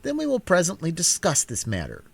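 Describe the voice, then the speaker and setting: A man voicing a calm, gracious young girl ruler in a storybook reading. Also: a little high, brisk, creaky, breathy edge, pitched above the performer's own voice, heavily acted. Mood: composed. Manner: composed, quietly firm.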